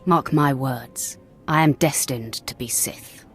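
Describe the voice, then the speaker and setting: A woman's voice: very deep voice, slow pace, soft background music. A woman playing an arrogant young acolyte in a video game, cold, threatening and self-assured. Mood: defiant. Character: menacing, arrogant young female Sith acolyte. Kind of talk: dramatic video game dialogue.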